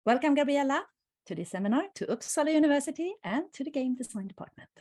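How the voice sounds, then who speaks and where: A woman's voice: high voice, animated, quick pace, darkish tone. A woman hosting a seminar interview over a video call, welcoming her guest and asking questions with slight hesitation. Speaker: seminar host.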